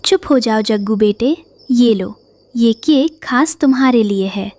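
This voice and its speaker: A woman's voice: a little high, measured pace, a little mellow, natural voice. A woman voicing a kind, forgiving mother bird in a Hindi cartoon. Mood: gentle.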